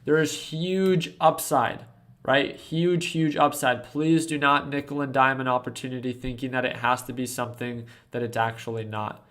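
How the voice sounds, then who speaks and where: A man's voice: medium pitch, fairly even intonation, moderate pace, fairly bright tone. A man in his twenties hosting a casual trading livestream, earnestly coaching viewers from a desk at home. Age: 20s. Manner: earnest coaching, reading chat aloud. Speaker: stock trading livestream host.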